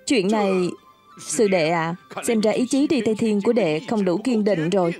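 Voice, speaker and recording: A woman's voice: mid-range voice, moderate pace, music in the background, natural voice. A woman voicing a cocky, scolding monkey disciple in a dubbed cartoon. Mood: stern. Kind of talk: Vietnamese-dubbed cartoon acting.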